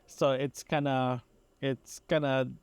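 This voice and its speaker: A man's voice: mid-range voice, level tone, clear, resonant tone. Guy doing a casual rambly podcast diary, stop and start talking, repeats himself, dry sound no room echo. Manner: halting, repetitive, self-interrupting. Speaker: podcast host.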